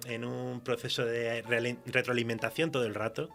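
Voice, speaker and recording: A man's voice: medium pitch, flat delivery, normal speed, gravelly, a bit bright. Spanish man chatting casually, music underneath. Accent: Spain. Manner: colloquial, mildly sweary, anecdotal; laughs while talking. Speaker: guest writer interviewed. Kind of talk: casual literary interview chat.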